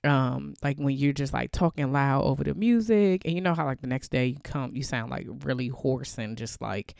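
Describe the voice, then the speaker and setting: A woman with a cold, congested and hoarse, talking casually and chattily on her own podcast with a naturally deep voice. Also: animated, moderate pace, a little mellow, no echo, clean studio sound. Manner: chatty, loose, laughs often, lots of fillers. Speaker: podcast host. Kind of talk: casual solo podcast talk.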